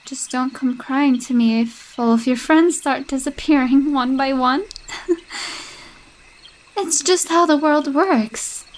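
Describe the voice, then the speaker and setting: A young woman voicing a possessive, jealous girlfriend with a sinister streak, sweet-talking and coaxing in a roleplay audio drama. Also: sits high. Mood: sweetly controlling. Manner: sweet-talking, coaxing, with unsettling laughs and hushed asides.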